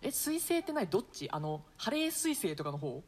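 A woman's voice: mid-range voice, very animated, fairly quick. A woman hosting a casual radio show, chatting jokily and reading out listener mail. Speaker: host, stage actor. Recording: long reverb.

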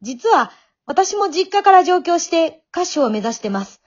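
A woman's voice: extremely high pitch, big pitch swings, measured pace, highs cut off, pitched above the performer's own voice, heavily acted. A woman playing an earnest, pushy young aspiring singer in an amateur radio drama, speaking with emphatic encouragement. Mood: earnest, confiding. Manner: emphatic, exhorting.

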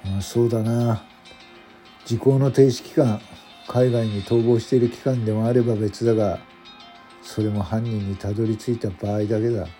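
A man playing a regretful veteran police detective in a radio drama, musing in gruff, terse phrases. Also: fairly low pitch, fairly even intonation, moderate pace, dark tone, soft background music, close to an everyday voice. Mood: rueful.

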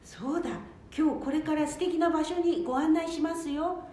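A very echoey room surrounds a woman acting as a kind care manager in a radio drama. Her voice is high and soft-toned, attentive and polite. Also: steady tone, normal speed, natural voice. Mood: encouraging. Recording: very echoey room, faint rumbling noise.

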